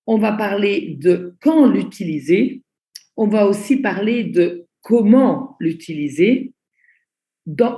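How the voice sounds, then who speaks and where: Slow, gravelly-voiced woman trainer. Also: mid-range voice, dark tone, roomy sound. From Belgium. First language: Italian. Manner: slow, deliberate, repeats key points. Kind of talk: online training presentation, spoken slowly for interpreters.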